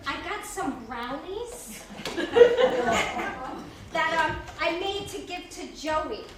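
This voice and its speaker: A woman reading the stage role of a flirty, joking, scatterbrained friend, with halting delivery. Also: very high voice, animated, heavily acted. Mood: playful, coy. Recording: echoey room, distant mic, noisy.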